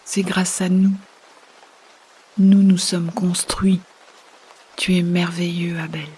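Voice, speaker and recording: An actress plays a fading woman in a science-fiction audio drama, resigned yet loving. Her voice is low and half-whispered, very slow and flat, with a breathy, solemn delivery. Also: heavily acted, low-quality recording. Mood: tender, farewell.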